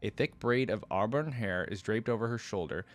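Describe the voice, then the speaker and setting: A man's voice: on the low side, normal speed, soft background music. A man giving reflective reaction commentary and reading game lore aloud in a casual style.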